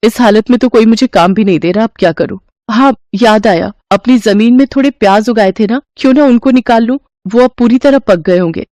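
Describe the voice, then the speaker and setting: A woman's voice: a little high, fairly quick, few pauses, mellow, no echo, close to an everyday voice. A woman voicing an earnest, poor young widow.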